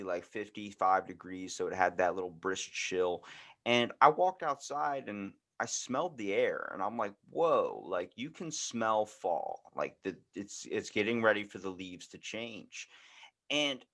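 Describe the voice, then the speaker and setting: A man with an American accent and a fairly low voice hosts a casual conversation. He talks in a loose, rambling storyteller's way, animated and informal. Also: a little slow. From United States. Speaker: podcast host.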